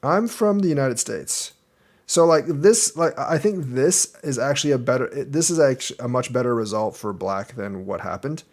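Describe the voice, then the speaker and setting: A man's voice: medium pitch, expressive, moderate pace. Easygoing man talking through a board game. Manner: thinks aloud, explaining moves step by step. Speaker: Go teacher reviewing a game. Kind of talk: casual game review commentary.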